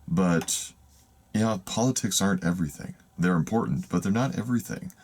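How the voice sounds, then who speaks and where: A man with an extremely deep, raspy voice talks straight to the camera. He rambles and hesitates, circling back and restarting his sentences. He is from the United States. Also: lively intonation, moderate pace, a little mellow. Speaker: van life vlogger. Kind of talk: casual talk to camera. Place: in a van.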